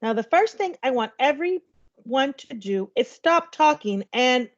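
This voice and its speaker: Woman reading as a brisk, firm schoolteacher, higher voice. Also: animated, dull audio. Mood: brisk, commanding. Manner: instructing, orderly announcements. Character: female schoolteacher, brisk and firm, settling her class.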